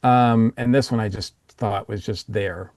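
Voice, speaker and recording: A man's voice: fairly low pitch, fairly even intonation, measured pace, darkish tone, dry recording, clean studio sound. A man giving his opinions casually as a panelist on a review podcast.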